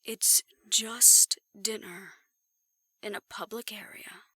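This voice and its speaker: A woman acting in an audio roleplay as a composed confidante, measured and gently admonishing. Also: medium pitch, steady tone, husky, no room sound, clean studio sound, full character voice. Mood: gently firm.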